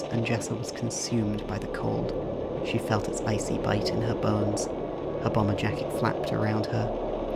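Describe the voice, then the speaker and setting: A man's voice: lowish voice, creaky, very noisy. A man reading scripted narration for an audio drama as its narrator.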